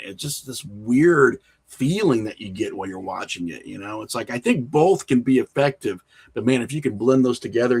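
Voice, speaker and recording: Husky-voiced man chatting casually. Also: medium pitch. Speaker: podcast guest, horror filmmaker and writer. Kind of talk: casual conversational interview.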